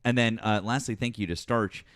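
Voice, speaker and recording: A man's voice: fairly low pitch, fairly even intonation, normal speed, dry recording, clean studio sound. A man hosting a live call-in talk show, blunt and profane with callers. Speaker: show host.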